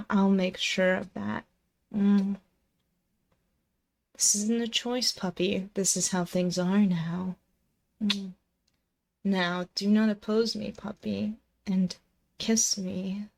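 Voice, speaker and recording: A woman's voice: medium pitch, flat delivery, slow pace, gravelly, pauses often, a little mellow. A woman playing a possessive, dominant and seductive female demon in an intimate, close-miked ASMR roleplay, commanding, teasing and doting. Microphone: close binaural microphone.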